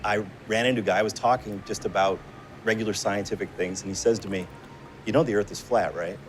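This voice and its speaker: Faint vehicle noise, middle-aged man, low voice, hesitant earnest casual talk. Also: fairly even intonation, fast. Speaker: documentary maker conducting interview. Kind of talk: casual conversation.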